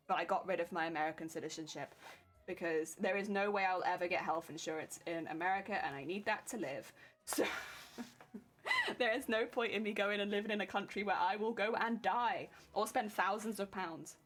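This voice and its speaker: A woman's voice: medium pitch, normal speed, fairly bright tone. Casual streamer, young woman, southern English accent, chatty and self-deprecating, faint music bed. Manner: chatty and self-mocking, laughs often. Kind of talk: casual chat with live viewers while sewing a cosplay.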